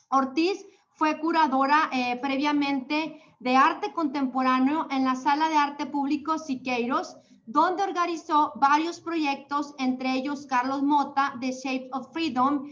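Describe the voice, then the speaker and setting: High-voiced woman reading an introduction. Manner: formal, steady, occasional fillers. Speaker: museum host introducing a guest. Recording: dull lo-fi sound.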